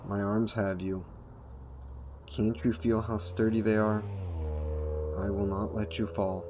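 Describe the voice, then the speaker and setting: A man's voice: on the low side, level tone, noisy background. A man playing a courtly, seductive vampire in an intimate roleplay audio, speaking formally and coaxingly to the listener. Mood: seductive, soothing. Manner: formal, gentlemanly, coaxing, unhurried. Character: courtly, seductive male vampire, hungry and predatory.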